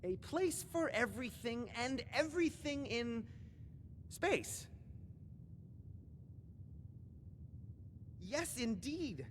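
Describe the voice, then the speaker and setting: A woman's voice: fairly high pitch, expressive, slow pace, big-room sound, slight background noise. A woman playing an eccentric, scatterbrained, book-obsessed librarian in a video game, rambling in sing-song rhymes and wordplay. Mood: welcoming, dotty. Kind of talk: theatrical video game character acting.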